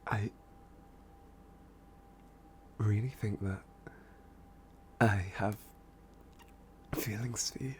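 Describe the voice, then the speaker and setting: A man playing an obsessed, adoring stalker in a boyfriend ASMR roleplay, with a breathy, husky voice and a halting, pleading, worshipful manner. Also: lowish voice, fairly even intonation, a little hiss or hum. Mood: tender awe. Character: obsessed, adoring young stalker smitten with a killer.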